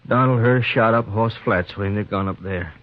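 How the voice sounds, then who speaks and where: An actor playing a wounded young homesteader, a curt and strained elder brother in a 1950s radio western. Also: male voice, fairly low pitch, normal speed, close to an everyday voice. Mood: pained. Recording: muffled vintage radio recording.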